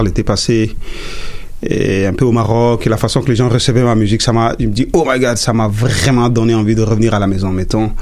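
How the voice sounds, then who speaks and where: A man from Chad whose first language is Sara, a musician answering interview questions in a hesitant, halting way with many restarts. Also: fairly low pitch, darkish tone. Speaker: guest musician.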